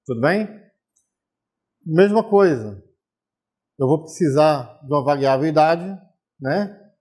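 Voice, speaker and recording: Teacher explaining step by step. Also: male voice, fairly high pitch, animated, slow pace, darkish tone. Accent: Brazilian Portuguese. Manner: explains step by step, checks in with viewers. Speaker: programming teacher. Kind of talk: recorded distance-learning lesson.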